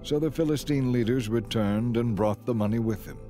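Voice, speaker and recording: A man narrating a dramatized Bible story in a measured, scripted storytelling style. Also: medium pitch, warm tone, faint music behind.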